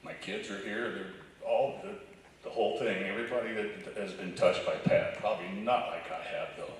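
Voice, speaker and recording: A middle-aged man from Indiana giving an unscripted eulogy at a memorial, speaking conversationally and haltingly, often repeating himself. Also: on the low side, fairly even intonation. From Indiana, United States. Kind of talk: unscripted personal tribute. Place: large echoey room at a high school. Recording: echoey hall, distant mic, faint noise.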